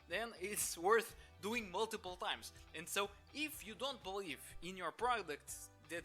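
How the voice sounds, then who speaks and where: Young Portuguese-accented business owner, bright higher voice, opinionated monologue with music underneath. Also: male voice. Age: 20s. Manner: opinionated, many restarts and filler. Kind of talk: talking-head monologue to camera.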